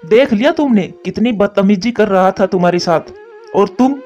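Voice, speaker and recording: A man's voice: high voice, normal speed, darkish tone, lightly acted. A man voicing an earnest, admonishing crow, a concerned father in a cartoon. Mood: exasperated. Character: male crow, concerned scolding father and husband. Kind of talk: Hindi cartoon voice acting. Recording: muffled narrow-band audio over music.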